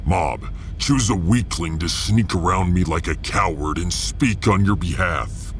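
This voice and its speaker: A man playing a huge, proud, battle-loving warrior officer in a sci-fi audio drama, gravelly and pompously formal. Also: a little slow, a little hiss or hum. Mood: proud, gruff. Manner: booming, pompous, formal declarations.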